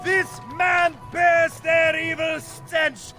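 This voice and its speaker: Crazed doom prophet in a game, super high voice, slow frantic preaching, music under it. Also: male voice, flat delivery, full character voice. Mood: frantic, menacing. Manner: ranting, apocalyptic preaching. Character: frantic male doomsayer, fanatical and fearful, preaching doom. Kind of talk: video game acting. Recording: loud music behind voice.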